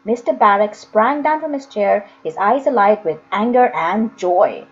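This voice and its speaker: A woman's voice: medium pitch, lively intonation, unhurried, highs cut off. A woman narrating a children's novel expressively, as an audiobook reader.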